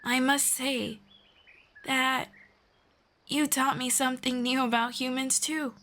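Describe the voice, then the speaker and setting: A woman playing a friendly, curious elf in a roleplay audio drama, speaking in a gentle, measured and formal way to one listener. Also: high voice, level tone, heavily acted. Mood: warm, apologetic.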